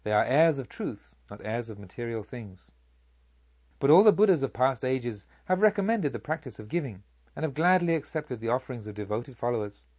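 A man voicing a serene Buddha, a kindly sage who teaches in a measured, solemn, rhetorical way in an audiobook. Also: medium pitch, lively intonation, a little mellow, slightly rough, no echo, lo-fi sound. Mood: gracious, solemn. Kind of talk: audiobook character speech.

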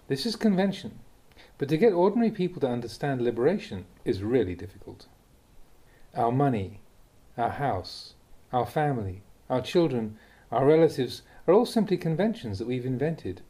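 Calm monk with mellow, husky voice. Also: male voice. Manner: calm, deliberate reading with lively pitch on key words. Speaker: monk reading Dhamma talks. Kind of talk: measured reading of a Buddhist teaching.

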